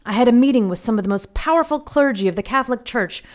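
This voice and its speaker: Woman as high-voiced, grave, knowing nun. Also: expressive, fast, natural voice. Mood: grave, urgent. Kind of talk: audio drama acting.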